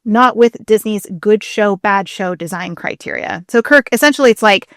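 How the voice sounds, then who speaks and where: A woman co-hosting a podcast, explaining things in a casual, chatty way with an animated, emphatic, informal manner. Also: medium pitch, normal speed, dry recording, studio quality. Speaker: podcast co-host.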